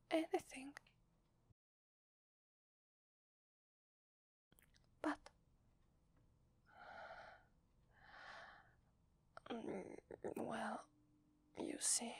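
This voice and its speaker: A woman's voice: sits high, no echo. A woman with an Italian accent voicing a sweet, apologetic werewolf wife in a hesitant, tender, whispered ASMR roleplay. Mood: nervous, uneasy. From Italy. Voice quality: breathy, whispery. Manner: hesitant, tender, slow with long pauses.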